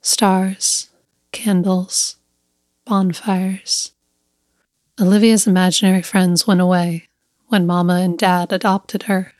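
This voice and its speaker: A woman reading a scripted literary story as narrator, measured and deliberate, pausing between short phrases. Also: fairly low pitch, flat delivery, a little mellow, no echo, studio quality.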